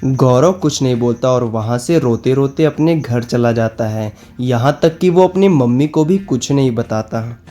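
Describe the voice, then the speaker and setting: A man's voice: medium pitch, unhurried, dark tone. A man narrating a scripted cartoon story as its storyteller. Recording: music behind the voice.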